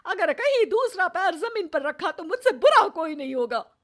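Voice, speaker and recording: A woman's voice: extremely high pitch, big pitch swings, normal speed, a bit bright, voice pushed up, exaggerated voice. A woman voicing a cruel, bossy middle-aged mother-in-law who scolds and taunts in a cartoon moral story. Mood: scolding.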